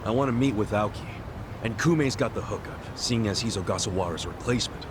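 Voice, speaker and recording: Guy playing loyal ex-yakuza hero, kinda low voice, blunt street talk but sincere, game cutscene, faint bg noise. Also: fairly quick, close to an everyday voice. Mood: casual, cocky. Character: earnest, loyal, scrappy middle-aged ex-yakuza hero. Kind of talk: video game cutscene dub acting.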